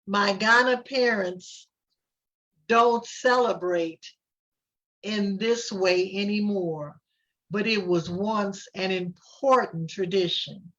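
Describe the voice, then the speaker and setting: A woman reading the part of a proud, kindly grandmother who gently explains things to children in a storybook. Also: mid-range voice, takes it slowly, highs cut off. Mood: proud, gentle. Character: proud, kindly Ghanaian grandmother visiting a classroom.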